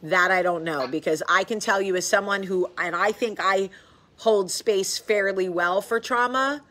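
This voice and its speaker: A woman's voice: mid-range voice, moderate pace, fairly bright tone. A middle-aged woman hosting a casual live video chat, candid and chatty, with the odd casual swear. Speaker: host.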